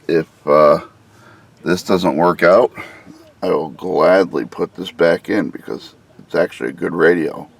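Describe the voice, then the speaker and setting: Male hobbyist reviewer, scratchy low voice, very slow relaxed delivery, casual explainer. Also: gravelly. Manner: relaxed, unhurried explaining with frequent "uh"s. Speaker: car audio hobbyist reviewer. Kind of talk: casual hands-on product review.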